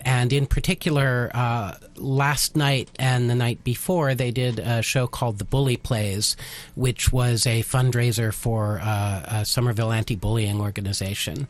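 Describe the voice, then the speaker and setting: Halting, thoughtful man explaining in an interview. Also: medium pitch. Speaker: radio theater writer and adapter, interview guest. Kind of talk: casual radio interview.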